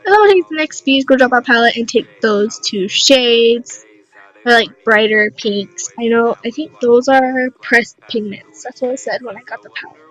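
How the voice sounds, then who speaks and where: A young woman with a raspy voice, casually narrating a makeup tutorial in a rambling, unsure, self-deprecating way. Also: a little high, moderate pace, fairly bright tone, poor audio.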